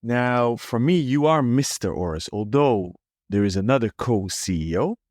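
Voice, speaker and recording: A man's voice: mid-range voice, lively intonation, measured pace, a little mellow, no echo. Friendly man co-hosting, dry close sound. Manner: friendly, teasing, long winding questions. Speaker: podcast co-host. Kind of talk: casual interview hosting.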